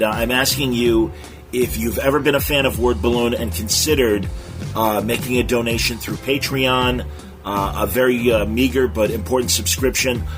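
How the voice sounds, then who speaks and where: A man hosting a podcast, speaking in an informal, earnest, slightly hesitant way as he makes announcements. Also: mid-range voice. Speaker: podcast host.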